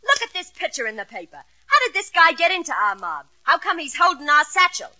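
A woman's voice: very high voice, sing-song, brisk, pushed and bright, heavily acted. An actress playing a short-tempered female gangster boss in an old radio comedy, snarling slangy orders. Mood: angry, threatening. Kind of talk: 1940s-50s radio comedy acting. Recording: dull old radio recording.

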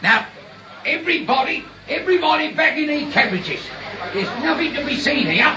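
An actor playing an officious, bossy railway guard who talks ungrammatically, in a 1950s radio drama. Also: male voice, extremely high pitch, sing-song, normal speed, crowd noise, rough recording. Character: officious middle-aged male railway guard.

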